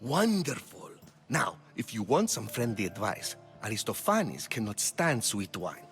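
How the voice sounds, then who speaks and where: An actor playing a vain, theatrical ancient Greek playwright in a game cutscene, witty and grandiloquent. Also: male voice, on the low side, very animated, measured pace, a bit bright, soft background music, full character voice. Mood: wry. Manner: self-mocking, grandiloquent, witty. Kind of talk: video game cutscene acting.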